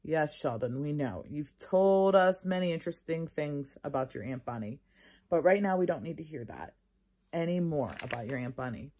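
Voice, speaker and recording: Woman as stern male schoolteacher, weary, firm, husky, emphatic swings in pitch, storybook scolding. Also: expressive, moderate pace, dark tone. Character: stern, weary male first-grade teacher.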